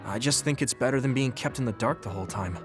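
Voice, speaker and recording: A man's voice: mid-range voice, fast talker, music in the background, lightly acted. An actor playing an earnest, supportive and self-assured teenage boy soldier in a video game. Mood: earnest, grateful. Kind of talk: English video game voice acting.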